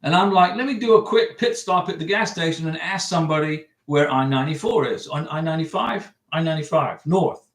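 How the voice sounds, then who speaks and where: A man's voice: fairly high pitch, a little fast, few pauses, a bit bright, roomy sound. A man hosting a livestream, chatting casually and rambling as he reads viewer comments and answers them. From United States. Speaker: livestream host giving visa advice. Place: home room.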